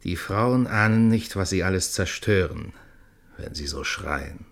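An actor in a radio play playing a weary, worldly playwright who leads a troupe and speaks with cynical resignation. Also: male voice, low voice, lively intonation, measured pace, fairly bright tone, full character voice. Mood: weary, irritated. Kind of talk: intimate German radio play acting.

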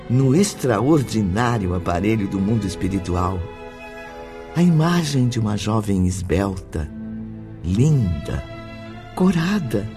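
A man narrating a radio drama from a script in a solemn, declamatory manner. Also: medium pitch. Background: music.

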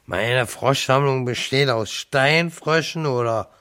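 An actor in a radio play as a drunken, cranky, coarse tenant who rambles and jeers. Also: male voice, mid-range voice, slow pace, pushed and bright, clear, resonant tone. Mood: sullen, rambling. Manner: blunt, coarse, jeering, swinging between barking and muttering. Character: drunken, cranky, rambling jobless male tenant, newly widowed. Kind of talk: radio drama acting.

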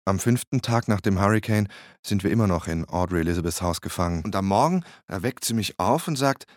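Tiefer Männererzähler, trockene Aufnahme, Hörspiel. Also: male voice, deep voice, animated, fairly quick, dry recording, clean studio sound. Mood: recounting, weary. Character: male hurricane survivor, photographer, recounting his rescue. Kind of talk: dramatized documentary monologue in radio drama.

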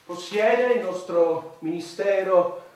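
A man's voice: high-pitched, takes it slowly, darkish tone. An actor playing a pompous, commanding president who addresses his war cabinet in a declamatory, officious manner, in theatrical satire. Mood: coolly callous. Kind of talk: theatrical satirical stage acting. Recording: echoey room, distant mic, faint noise.

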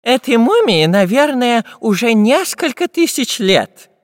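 A man voicing a fussy old male professor in a high, earnest, lecturing voice. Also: big pitch swings, measured pace, no room sound, clean studio sound, long reverb tail, heavily acted. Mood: explaining.

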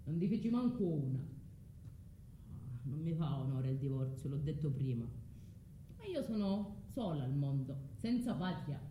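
Proud, bitter southern Italian exiled wife. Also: female voice, low voice, big pitch swings, a little slow, dark tone, echoey room, slight background noise. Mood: resentful. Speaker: actress. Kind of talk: theatrical radio drama monologue.

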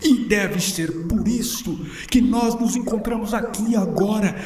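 A distant, echoing voice of an enigmatic time traveler, with clear noise around it. He muses in formal, theatrical phrases, as in a radio play. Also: sits high, unhurried, darkish tone. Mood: excited. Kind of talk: scripted radio drama acting. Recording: echoey, distant, noisy ambience behind.

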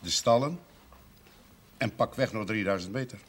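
An actor playing a gruff, impatient, middle-aged country father in a television period drama, blunt and grumbling. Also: fairly low pitch, normal speed, close to an everyday voice. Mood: matter-of-fact. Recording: noisy older TV soundtrack, clinking tableware.

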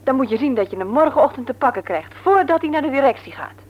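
An actress playing a practical, supportive woman of the household in a radio drama, with a husky voice. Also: high voice, animated, fast. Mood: concerned. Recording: dull, muffled radio recording.

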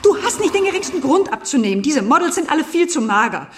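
A woman's voice: high voice, big pitch swings, fast talker. An actress playing an alarmed, reproachful mother in a radio drama, urgent and insistent. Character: alarmed, reproachful mother pleading with her daughter.

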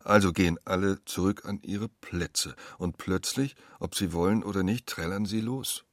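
A man's voice: low-pitched, normal speed, no room sound, studio quality. A man delivering scripted dramatic narration in a radio play.

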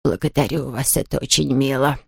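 A woman's voice: sits low, fairly even intonation, brisk, vocal fry, no room sound, clean studio sound. A woman voicing a peevish, self-pitying bedridden woman in an audiobook, grumbling and haughty in a languid way. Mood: feeble, self-pitying. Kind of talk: audiobook character voice.

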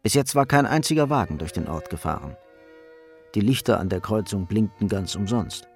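A man's voice: lowish voice, lively intonation, moderate pace, vocal fry, soft background music. A man narrating a crime radio play in a scripted, storytelling delivery.

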